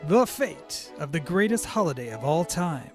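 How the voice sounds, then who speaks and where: A man's voice: a little high, sing-song, moderate pace, darkish tone, soft background music. A man playing a portentous trailer-style announcer narrating a dramatic recap in a radio drama. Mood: ominous.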